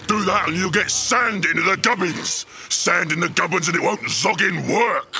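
An actor playing a brutish, bullying ork mechanic boss in a scripted audio drama, gravelly and scornful, with rough grammar. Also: male voice, high voice, expressive, moderate pace, sharp tone, soft background music, heavily acted. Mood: angry, bullying. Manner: bellowing, scornful, rough ungrammatical speech.

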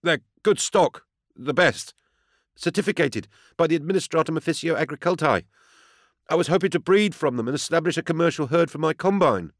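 A male narrator acts out a cringing farmer standing trial in an audiobook story. The voice is pitched up high, nervous and pleading, with an anxious, faltering deference. The sound is close and dry. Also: lively intonation, a little fast, no room sound. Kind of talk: audiobook character acting.

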